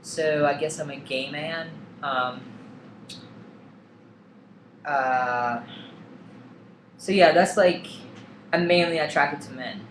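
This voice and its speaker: A man's voice: on the high side, slow pace, pauses often. A young man talking casually and candidly to camera, hesitant with many ums and likes. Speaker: vlogger. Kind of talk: casual personal vlog. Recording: echoey room, faint background hum.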